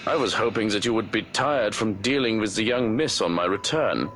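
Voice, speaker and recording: A man's voice: on the low side, normal speed, fairly bright tone, faint music behind, close to an everyday voice. A man voicing a stern, dry, protective servant in a visual novel, speaking formally and precisely with a mild scolding tone. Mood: scolding, teasing. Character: stern, dry, protective male servant to a troubled young master. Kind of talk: visual novel character acting.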